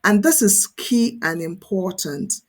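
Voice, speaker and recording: A woman's voice: medium pitch, lively intonation, takes it slowly. Emphatic woman coach teaching online. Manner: emphatic, often checks in with "right?" and "okay?". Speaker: career coach presenting an online course. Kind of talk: instructional screen-share tutorial, conversational.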